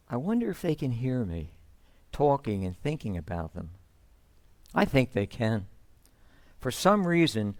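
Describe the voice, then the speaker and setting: A man playing a reflective, sentimental storyteller, telling a first-person monologue in measured phrases. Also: medium pitch, no echo. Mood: wistful, tender. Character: reflective, sentimental male storyteller recalling his upbringing.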